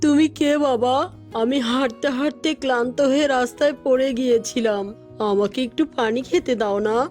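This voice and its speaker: Casting: frail, sly old cartoon man, played by a woman, high pitch, coaxing, music underneath. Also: steady tone, moderate pace, darkish tone, close to an everyday voice. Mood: pleading, coaxing. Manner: pleading, coaxing. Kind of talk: children's cartoon voice acting.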